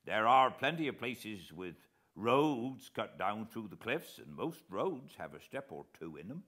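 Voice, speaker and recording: A man's voice: lowish voice, sing-song, a little slow, a bit bright, pitched above the performer's own voice, full character voice. A British man voicing a respectful, musing old coast guard officer in a storybook reading. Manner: deferential, musing, helpful.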